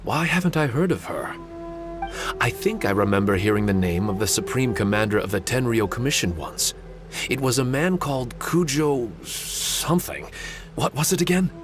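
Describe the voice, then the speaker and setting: A man's voice: medium pitch, expressive, normal speed, over music, natural voice. A man voicing an earnest, somewhat forgetful male puppet warrior in a game. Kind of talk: video game cutscene dialogue.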